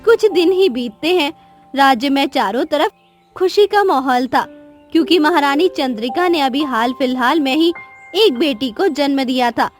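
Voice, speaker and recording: High-voiced woman telling a cartoon story. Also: normal speed, soft background music. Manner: steady, clear storytelling. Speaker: narrator. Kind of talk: scripted storybook narration.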